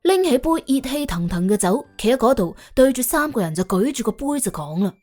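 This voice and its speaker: Animated high-voiced Cantonese woman storyteller. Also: brisk. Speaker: audiobook narrator. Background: faint background music.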